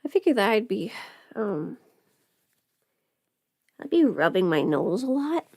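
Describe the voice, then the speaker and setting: A woman with a medium-pitched voice chats casually as a livestream host. She rambles and trails off, half talking to herself while her hands are busy. The sound is dry, with hardly any room to it. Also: very animated, measured pace, dark tone, no echo. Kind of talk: casual chat while doing makeup.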